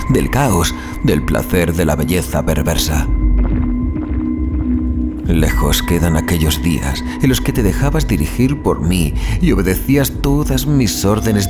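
Man acting a very deep-voiced, brooding doomed passenger. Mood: fatalistic, intense. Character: doomed male passenger, obsessed with his murderous chauffeur. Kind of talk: dramatic horror monologue in audio fiction.

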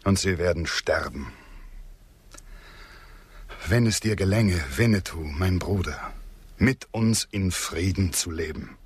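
Earnest loyal frontier guy in a radio play, deep grave voice, poetic lines, no room sound. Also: slow pace, long pauses, slightly rough, dry recording, heavily acted. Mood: grave pleading. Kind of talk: German radio drama acting.

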